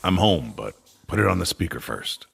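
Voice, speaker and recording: An actor playing a weary, bitter, irritable man in a sci-fi audio drama, with a rough, muttering delivery. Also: sits low, sing-song, normal speed, full character voice. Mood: casual, flustered. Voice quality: gravelly, rough.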